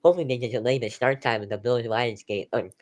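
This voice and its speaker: A man's voice: mid-range voice, steady tone, fast talker. A man hosting an amateur live football stream, calling the plays in a conversational, informal way. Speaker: play-by-play host of an online sports stream.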